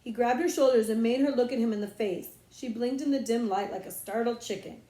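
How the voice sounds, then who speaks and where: Expressive woman storyteller, echoey room. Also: a little high, brisk. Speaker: audiobook reader, librarian. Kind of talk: expressive scripted storybook narration.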